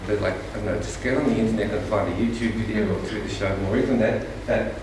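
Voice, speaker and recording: A man runs a workshop in a big echoey conference hall and stands well away from the microphone. His voice is fairly low, and steady room noise sits behind him. He explains things gently and encouragingly, in short phrases that he often restarts. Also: quick pace. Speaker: workshop presenter. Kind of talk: facilitating a workshop feedback round. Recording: echoey hall, voice far from mic, audible noise.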